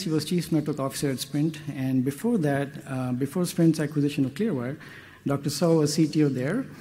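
Middle-aged male session chair, warm and mellow, reading a formal introduction at a podium mic. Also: a little high. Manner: measured, reads a list with small hesitations. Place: conference stage at a podium. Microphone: podium microphone.

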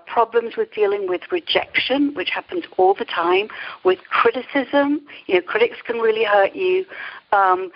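Middle-aged woman, dull lo-fi interview sound. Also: fairly high pitch. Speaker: interview guest, creativity coach. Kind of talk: conversational interview answers.

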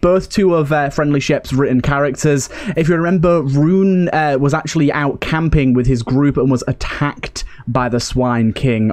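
A man's voice: medium pitch, a little mellow. A man hosting a gaming series, giving casual let's-play commentary into a close mic.

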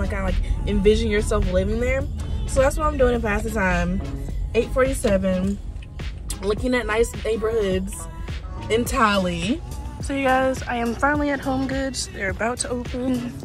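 A young woman vlogging casually to a handheld camera from her car, bubbly and full of "like" fillers. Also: medium pitch. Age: young adult. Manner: chatty, bubbly, many "like" fillers. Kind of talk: casual vlog chat to camera. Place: inside a parked car. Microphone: camera held at arm's length.

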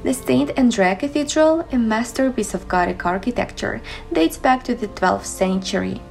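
A woman's voice: on the high side, lively intonation, normal speed, creaky. A young woman reading a scripted voice-over as the narrator of a travel guide. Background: background music.

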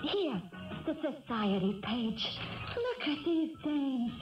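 A very high, swooping voice as a brassy, vain American moll. She nags and whines in slangy radio-play style, on a dull old recording with music behind. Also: sing-song, slow pace, heavily acted. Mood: wheedling, vain. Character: nagging, vain, brassy young gangster's moll. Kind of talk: 1970s radio drama acting.

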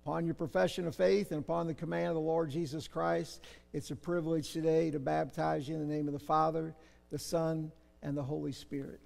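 Middle-aged male pastor, gravelly and earnest, baptism officiant in a reverberant church. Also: a little high, level tone, fairly quick. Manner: earnest, gentle prayerful delivery. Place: church baptistry, large sanctuary.

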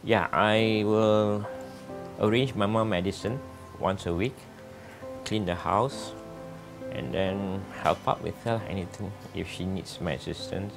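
Gravelly low man. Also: fairly even intonation, unhurried, over music. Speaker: caregiver, son. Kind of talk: interview testimony.

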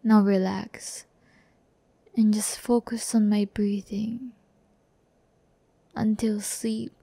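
Woman as teasing female demon, haughty yet tender, ASMR roleplay, creaky, unhurried, close dry sound. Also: medium pitch, level tone, dark tone, dry recording, heavily acted. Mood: tender, soothing. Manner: slow, breathy, teasing, often near whisper.

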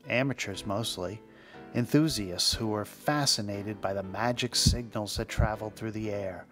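A man reading scripted documentary narration in a conversational storytelling manner with wry asides, as the host. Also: medium pitch.

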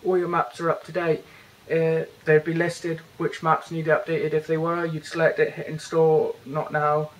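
Flat-voiced British man, hesitant tech walkthrough. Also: fairly high pitch, moderate pace, few pauses, rough recording. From Britain. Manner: hesitant, many fillers and restarts. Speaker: tech reviewer. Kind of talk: casual hands-on product walkthrough. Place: indoors, bedroom.

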